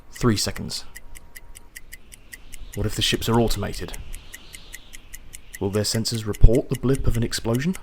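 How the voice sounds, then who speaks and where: A man reading a first-person science fiction horror story in a measured, dramatic storytelling manner. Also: lowish voice, slight background noise. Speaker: audiobook narrator. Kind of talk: scripted first-person sci-fi horror narration. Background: atmospheric music and sound effects.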